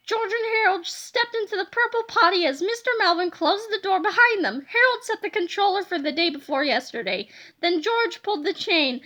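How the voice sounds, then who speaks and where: A woman reads a children's book aloud. Her voice is very high and bright, and she tells the story expressively. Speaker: storybook reader.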